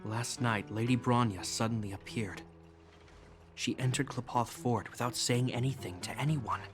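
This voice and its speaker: A man's voice: mid-range voice, lightly acted. Earnest, dutiful young officer played by a man. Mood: reluctant, serious. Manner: measured, formal, polite. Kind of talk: video game voice acting. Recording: music clearly behind the voice.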